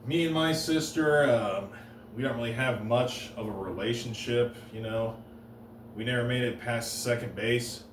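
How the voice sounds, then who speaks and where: A man doing deadpan one-liner stand-up comedy on a stage, halting and restarting between jokes. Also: mid-range voice, slight background noise. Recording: roomy live room, heard from a distance.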